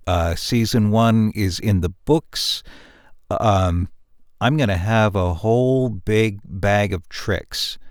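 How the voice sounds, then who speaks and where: A man in a casual behind-the-scenes podcast discussion, speaking as a show's creator in a halting, reflective way with repeated words. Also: fairly low pitch, no room sound, clean studio sound. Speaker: audio drama creator and producer.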